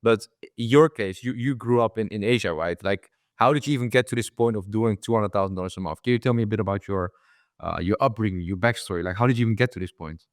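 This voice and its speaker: Young guy hosting a podcast, rambles quick, says bro a lot, low voice dry sound. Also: no echo. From Netherlands. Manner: loose, repeats words, says bro a lot. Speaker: podcast host. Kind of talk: casual interview chat.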